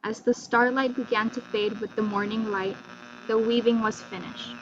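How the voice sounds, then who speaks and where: A woman's voice: a little high, steady tone, moderate pace. A woman storyteller giving a scripted, dramatic narration of a folktale. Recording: dull lo-fi recording with loud mains hum.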